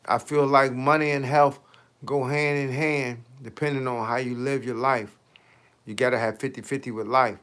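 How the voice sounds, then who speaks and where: A man's voice: mid-range voice, steady tone, normal speed, fairly bright tone, clear, resonant tone. A man in his late fifties, a fitness coach chatting casually on his livestream, slangy and talkative, answering viewers' comments with rhetorical questions. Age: 50s.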